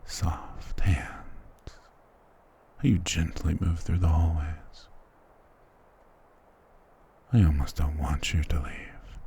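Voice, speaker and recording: A man playing a doting, possessive immortal vampire lord in an intimate ASMR romance roleplay, husky, tender and softly commanding. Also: steady tone, slow, long pauses, a little hiss or hum, heavily acted. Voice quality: breathy, husky low whispering.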